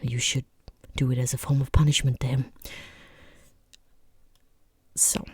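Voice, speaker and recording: A woman playing a rude, condescending female boss interviewing a job applicant in soft-spoken ASMR, half-whispered, with dry, sarcastic politeness. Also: extremely low pitch, level tone, unhurried, slightly rough, no room sound, heavily acted. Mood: exasperated.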